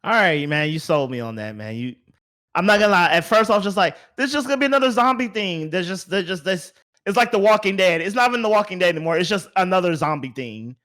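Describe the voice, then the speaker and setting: A man's voice: high-pitched, animated, quick pace, a bit bright. A man hosting a podcast in a casual, conversational way. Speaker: podcast host.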